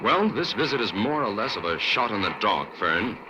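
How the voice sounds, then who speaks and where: An actor playing a calm, polite detective who asks probing questions in an old radio drama. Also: male voice, lowish voice, normal speed, noisy background. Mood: thoughtful. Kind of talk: 1940s radio acting.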